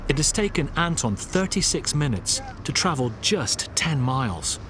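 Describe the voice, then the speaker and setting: Measured male documentary narrator. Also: fairly high pitch, background noise. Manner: measured, even voice-over. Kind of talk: scripted narration.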